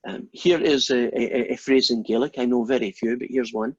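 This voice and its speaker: A Scottish man gives an informal maths lecture and wanders happily off topic into long, self-deprecating stories. His voice is husky and a little rough at the edges. The recording sounds muffled and dull, without any crisp highs. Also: steady tone, moderate pace, dry recording. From Scotland. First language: Scots. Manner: rambling, anecdotal, self-deprecating.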